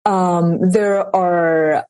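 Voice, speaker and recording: A woman from Taiwan, a guest author, speaking softly and haltingly with many fillers in a casual interview. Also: on the low side, steady tone, no room sound.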